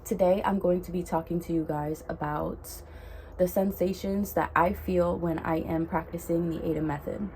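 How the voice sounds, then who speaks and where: A woman's voice: lowish voice, fairly even intonation, moderate pace, darkish tone, a little hiss or hum, rough recording. A young woman vlogger, congested with a cold, chatting to camera in a rambling way that keeps correcting itself. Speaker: spiritual vlogger. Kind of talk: casual talk to camera.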